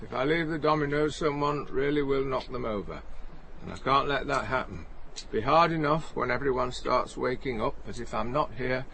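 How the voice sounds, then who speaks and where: A man's voice: medium pitch, normal speed, pushed and bright, slight background noise. An actor playing a mild, stubborn older man, hesitant and literal in a radio drama. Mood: quietly stubborn. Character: stubborn, mild, lonely old man obsessed with dominoes.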